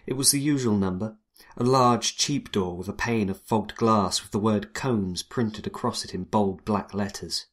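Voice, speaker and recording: A man playing a wry, hardboiled 1920s private detective, narrating in a dry, world-weary deadpan. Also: lowish voice, lightly acted. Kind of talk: first-person noir detective narration and dialogue.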